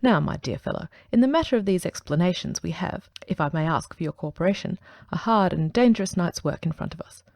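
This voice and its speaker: Brief: woman as a cool, exacting male sleuth, low and gravelly, measured delivery, audiobook. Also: expressive, a little mellow, no echo. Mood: calm. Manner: measured, dry, composed storytelling. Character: calm, precise male detective, middle-aged. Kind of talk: dramatic audiobook reading.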